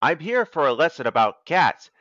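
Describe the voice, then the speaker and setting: A man's voice: on the high side, big pitch swings, moderate pace, long reverb tail, highs cut off, over music, pitched above the performer's own voice. A man voicing a sneaky, cheeky and grumpy talking cat in a children's story. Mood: friendly.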